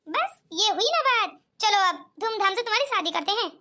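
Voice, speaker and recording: A woman's voice: very high voice, lively intonation, normal speed, bright, forceful tone, exaggerated voice. A woman voicing a kindly, coaxing middle-aged mother in an amateur cartoon comedy skit.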